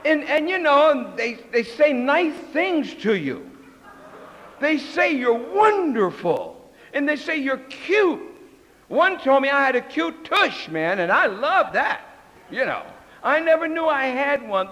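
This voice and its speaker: Older man, high voice, telling his story. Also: fairly bright tone, long reverb tail, low-quality recording. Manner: candid storytelling, joking, acts out voices. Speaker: speaker at a recovery meeting. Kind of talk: personal story talk.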